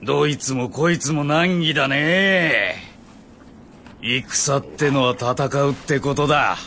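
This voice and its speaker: A man voicing a carefree, swaggering warrior in a game, with rough, casual, drawling speech. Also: on the high side, slow pace, bright, forceful tone, a little hiss or hum, heavily acted. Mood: wry, challenging. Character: carefree, roguish swaggering warrior, fond of battle. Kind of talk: dramatic Japanese game voice acting.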